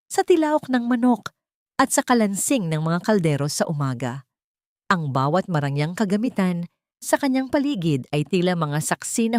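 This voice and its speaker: A woman's voice: low-pitched, a little mellow, no room sound, clean studio sound. A woman narrator reading a scripted, dramatic audio drama story.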